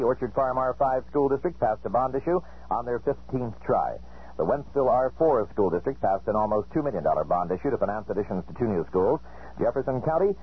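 A man's voice: medium pitch, slightly rough. An American man reading a scripted local radio newscast. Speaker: local radio news reader. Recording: dull lo-fi 1970s radio broadcast.

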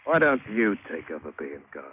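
An actor playing a rough, wily British seaman who tells his tale in salty, wry slang, in old radio drama style. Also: deep voice, very animated, moderate pace. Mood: wary bargaining. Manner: salty colloquial sailor talk, wry storytelling. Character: rough, wily British seafaring adventurer spinning his yarn. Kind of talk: 1940s-50s radio drama acting and narration. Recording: tinny, noisy old radio broadcast.

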